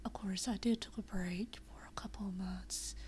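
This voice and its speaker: A young woman whispering quietly at night in a living room, chatting unscripted with many fillers and restarts. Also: mid-range voice, steady tone, moderate pace. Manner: rambling with frequent fillers and restarts. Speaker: VRChat vlogger. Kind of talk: unscripted casual chat.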